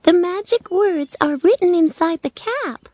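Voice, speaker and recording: A woman voicing a tiny, kindly queen of the field mice in a storybook, squeaky and singsong. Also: lively intonation, a little slow, mellow, dry recording. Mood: kindly, helpful. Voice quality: squeaky, small.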